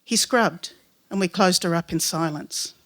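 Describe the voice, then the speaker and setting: A woman's voice: lowish voice, unhurried, fairly bright tone. A woman reading a literary first-person story, giving voice to its dialogue. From Western Sydney, Australia. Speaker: writer reading own story aloud.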